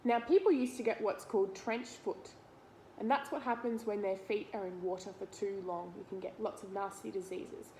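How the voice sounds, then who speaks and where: A woman's voice: medium pitch, moderate pace, warm tone, a little hiss or hum, rough recording. An Australian woman reading a picture book aloud to young children, expressively, talking to them directly with simple explanations and questions.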